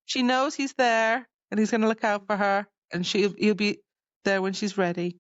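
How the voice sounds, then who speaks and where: A woman's voice: medium pitch, fairly even intonation, moderate pace, no room sound. A woman co-hosting a podcast, chatting informally about books with wry asides, on a slightly dull microphone. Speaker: podcast co-host. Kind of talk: casual book discussion chat.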